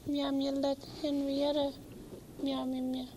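A woman's voice: high-pitched, monotone, measured pace, background noise. A woman playing a timid pussycat puppet who puts meows between simple words. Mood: sweet, shy.

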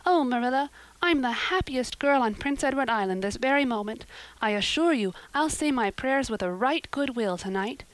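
A woman playing a young girl in a storybook reading, breathless and gushing, dreamy and dramatic, voice riding high and swooping widely, close dry sound. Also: expressive, normal speed, no room sound, poor audio. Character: eager talkative orphan girl, dreamy and dramatic.

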